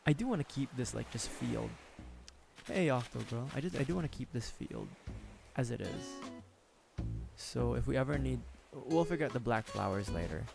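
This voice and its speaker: Hoarse gravelly male streamer. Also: medium pitch, sing-song, moderate pace, pauses often. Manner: rambling, joking, laughs often. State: hoarse, sore throat. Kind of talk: casual gameplay commentary. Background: game music.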